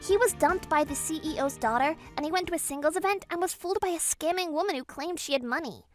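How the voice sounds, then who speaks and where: A woman playing an emotional young woman in a manga dub, speaking in an expressive, heartfelt way. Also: high-pitched, fast talker, soft background music, lightly acted. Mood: gleeful gossip. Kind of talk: manga dub character acting.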